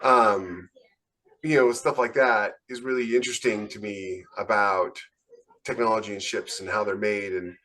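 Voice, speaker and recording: A man's voice: fairly low pitch, steady tone, normal speed, sharp tone. A man co-hosting a casual podcast discussion, explaining things with enthusiasm as a writer. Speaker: podcast co-host and science fiction writer.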